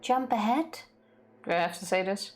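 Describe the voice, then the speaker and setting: A young Dutch woman co-hosting a game stream, bantering quickly and laughing often. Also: mid-range voice, darkish tone. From Netherlands. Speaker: let's play co-host. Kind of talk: casual gaming stream chat.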